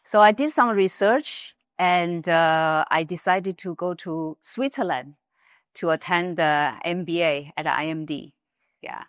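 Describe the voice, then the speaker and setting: A woman's voice: lowish voice, unhurried, dry recording, studio quality. A middle-aged woman from China with a Chinese accent, recounting her career as an interview guest. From Wuhan, China. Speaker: interview guest, business executive.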